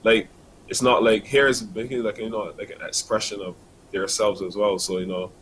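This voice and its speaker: Guest: student in his early 20s, Barbadian accent, low-pitched, earnest, halting delivery. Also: flat delivery, a bit bright, slight background noise, rough recording. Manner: earnest, many fillers and restarts. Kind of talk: casual interview answers.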